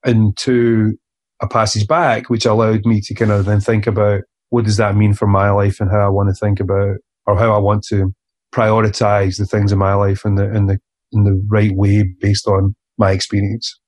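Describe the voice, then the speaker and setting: Brief: man, author guest, reflective and halting, low voice with flat delivery. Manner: earnest, halting, many fillers and restarts. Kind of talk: reflective interview conversation.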